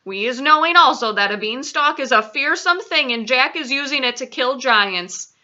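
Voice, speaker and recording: A woman voicing a gentle, cautious male giant from a storybook, explaining earnestly in quaint, muddled grammar. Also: sits high, lively intonation, normal speed, muffled recording, voice pushed up, full character voice.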